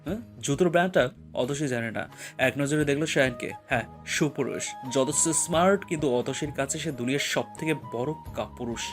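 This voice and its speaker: A man narrating romantic fiction as a scripted audio story, with expressive, emotional storytelling. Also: mid-range voice, fairly quick, faint music behind.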